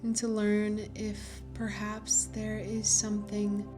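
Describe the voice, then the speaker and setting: A woman leading a guided meditation in a gentle, soothing manner, with pauses between phrases. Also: medium pitch, completely flat delivery, music in the background. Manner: slow, gentle, soothing delivery with long pauses.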